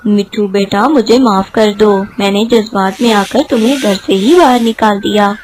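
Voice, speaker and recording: A woman's voice: medium pitch, normal speed, darkish tone, pitched below the performer's own voice, natural voice. A woman voicing a loving, remorseful father who speaks tenderly and apologetically in a cartoon story.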